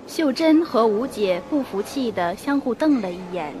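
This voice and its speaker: A woman's voice: on the high side, lively intonation, normal speed, dark tone, a little hiss or hum. A woman reading scripted narration for a radio drama as its storyteller.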